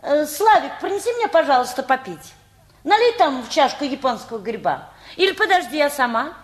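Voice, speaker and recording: An actress in a radio play playing a bossy, opinionated aunt who gives advice in an insistent, emphatic busybody manner. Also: female voice, sits high, sing-song, brisk.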